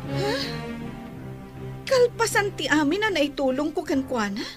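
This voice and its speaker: A woman's voice: high voice, sing-song, unhurried, over music. An actress playing a wronged woman who lent help and complains of ingratitude in a radio drama. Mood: aggrieved. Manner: aggrieved, complaining.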